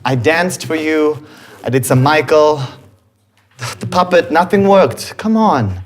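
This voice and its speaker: A man's voice: on the high side, animated, slow pace. A man acting as a goofy, eager young suitor in a TV sitcom, with a slangy, pleading manner. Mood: eager coaxing. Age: young adult.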